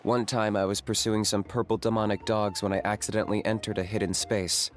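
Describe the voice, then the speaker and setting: A man voices a young hunter in a fantasy video game. He is reserved and matter-of-fact, with a fairly low voice and terse, deadpan delivery. Faint music plays behind him. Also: brisk, lightly acted. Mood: cool, measured.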